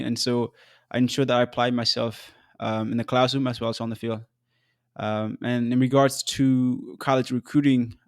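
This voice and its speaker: A young man from South Sudan hosts his own podcast, telling his story in a measured, earnest voice. His pitch sits in the middle range, and he drops in an occasional um or restart. The recording is dry and close, with almost no room sound. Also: no echo. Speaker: podcast host. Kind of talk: scripted solo podcast narration.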